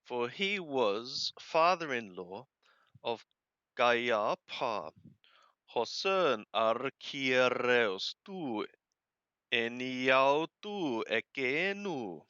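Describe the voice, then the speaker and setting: A man reading scripture aloud and translating it phrase by phrase, in a deliberate, halting way with careful enunciation. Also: mid-range voice, big pitch swings, sharp tone, clear, resonant tone, dry recording, dull audio. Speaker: Bible reader and translator.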